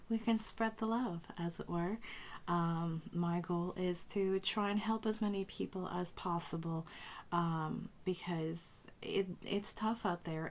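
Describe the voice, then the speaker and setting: Low-voiced woman, strained after a cold. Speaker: tarot reader and channel host. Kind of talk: casual spiritual talk to camera.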